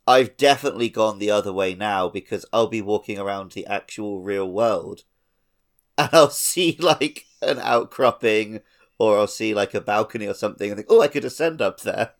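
A man with a fairly low voice co-hosts a gaming podcast. He is chatty and casual, and he drifts happily into tangents as he talks. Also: moderate pace. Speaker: podcast co-host.

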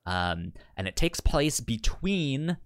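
A man's voice: medium pitch, very animated, slow pace, no echo, studio quality. A man co-hosting a podcast in casual, enthusiastic, nerdy chat, often stuttering and repeating sounds on words. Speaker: podcast co-host.

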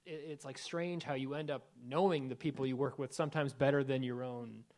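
A man with a mid-pitched voice answers a question on a panel stage. He speaks loosely and casually, circling back as he builds his point. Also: brisk. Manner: relaxed, loose, many fillers like "like". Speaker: TV writer and director on a panel. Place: event stage before an audience.

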